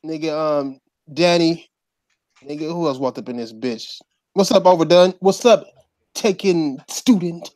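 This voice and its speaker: Brief: male podcast host, a touch high, animated, dry close mic, crude banter. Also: unhurried, dry recording. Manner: loud, profane, joking banter. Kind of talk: casual group chat.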